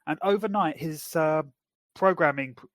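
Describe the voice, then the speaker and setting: A man with a somewhat higher voice co-hosting a casual podcast. He explains and summarizes in a measured, steady way. The sound is dry and close to the mic. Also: no echo. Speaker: podcast co-host. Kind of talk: casual chat.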